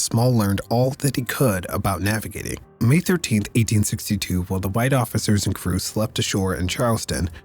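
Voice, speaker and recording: Casting: male podcast host, lowish voice, raspy and squeaky after illness, steady factual reads, faint music under. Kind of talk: read history segments and casual sign-off.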